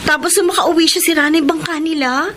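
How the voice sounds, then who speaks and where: High-voiced, excitable village woman, played by an actress. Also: fast talker, background noise, natural voice. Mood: anxious, urgent. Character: excitable, worried village woman bringing news. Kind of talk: Filipino radio drama acting.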